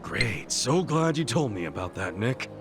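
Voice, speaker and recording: A man's voice: mid-range voice, very animated, measured pace, over music. A man playing a hot-headed but earnest guy in a video game cutscene, talking in blunt, emphatic slang. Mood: exasperated.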